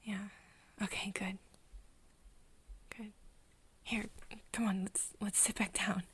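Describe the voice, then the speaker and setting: A woman playing a caring, flustered young woman in an ASMR roleplay, with a soft, breathy voice and a tender, stammering manner. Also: mid-range voice, little pitch movement, slow pace, a little hiss or hum. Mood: tender, hesitant. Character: caring, flustered young woman soothing her vampire friend.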